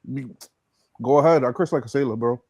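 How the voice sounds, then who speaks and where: Man, podcast host, average pitch, very dry sound, slangy, laid-back, conversational, affirming. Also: brisk, creaky, a little mellow, husky, no room sound. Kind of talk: casual chat.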